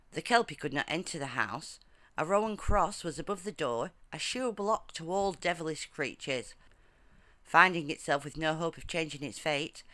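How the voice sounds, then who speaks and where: A storyteller narrating a folktale from a script in measured, formal storybook phrasing. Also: medium pitch, pushed and bright, dry recording.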